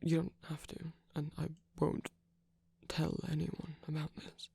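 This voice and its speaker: A very close, dry, mellow voice, heavily creaky, plays a tender villain, acted out by an ASMR performer. The delivery is hushed and often whispered, gentle and protective, with small hesitations as in an intimate romantic roleplay. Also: mid-range voice, monotone, a little slow, dry recording, heavily acted. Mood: gentle, caring. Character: soft-spoken villain, tender and protective toward abandoned hero.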